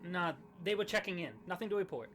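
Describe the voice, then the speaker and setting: Man playing casual grim reaper, high-pitched male, blunt, offhand, faint background noise, audio drama. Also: fast talker, fairly bright tone. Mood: offhand, dismissive.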